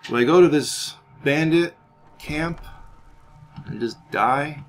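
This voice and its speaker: A man's voice: a little high, slow pace, a little hiss or hum. A man giving gameplay commentary as roleplay, musing aloud in character. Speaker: gamer playing in character.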